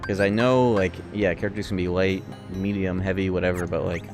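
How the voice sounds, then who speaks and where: Male game streamer, deep register, informal sweary chatter, rambling commentary over very loud music. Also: fairly even intonation, fairly quick. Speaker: game livestreamer. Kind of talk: casual gameplay commentary and chat with viewers. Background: loud video game music.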